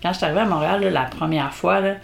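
A young woman with a Québécois accent from Bellechasse, co-hosting a casual podcast chat, laughing often and bantering quickly into a close mic. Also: lowish voice, gravelly. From Quebec. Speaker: podcast co-host.

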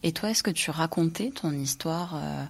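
A woman hosting a radio-style interview, reading a scripted introduction and then asking calm, measured questions. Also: low-pitched, creaky, husky. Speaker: host.

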